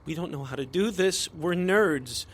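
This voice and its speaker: An actor playing an anxious, indignant young American nerd dragged into a crime in an audio drama, exasperated and stammering. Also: male voice, a little high, moderate pace, close to an everyday voice. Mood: anxious, pleading. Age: young adult. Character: panicky, indignant young nerd, reluctant accomplice.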